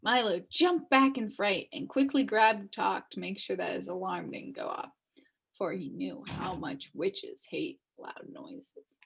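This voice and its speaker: A woman reading a children's book aloud as an expressive storyteller. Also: medium pitch, measured pace, gravelly, breathy edge, rough recording.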